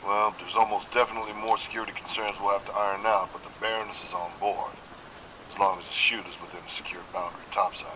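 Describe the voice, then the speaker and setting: A man plays a businesslike associate in an audio drama, heard at a distance over clear rain-like noise. He talks low and quickly. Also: little pitch movement, natural voice.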